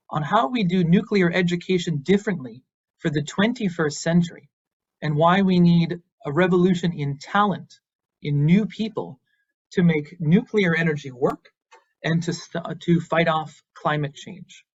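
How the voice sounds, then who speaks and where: Guy giving an online lecture, bit high voice, earnest and encouraging, kinda muffled audio. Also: normal speed, breathy edge. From Boston, United States. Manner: earnest and encouraging, with some fillers. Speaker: university lecturer.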